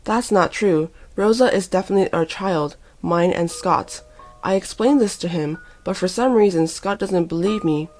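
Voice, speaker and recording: A woman's voice: on the low side, moderate pace, a little mellow, soft background music, natural voice. A woman voicing an earnest wronged wife and mother in a story, firm and controlled. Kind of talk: dramatised story reading.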